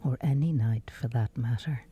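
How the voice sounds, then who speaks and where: A woman guiding a sleep meditation in a hushed, whispery, unhurried voice with long pauses, reading as scripted narration. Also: extremely low pitch, muffled recording.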